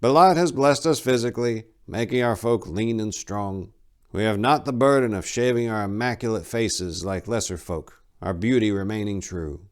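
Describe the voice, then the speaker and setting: A man's voice: fairly low pitch, normal speed, voice pushed up, close to an everyday voice. Man playing a solemn, weary old elf monk. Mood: solemn, reverent. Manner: solemn, lecturing, lofty. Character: old, weary, stoic elf monk guard, devout storyteller.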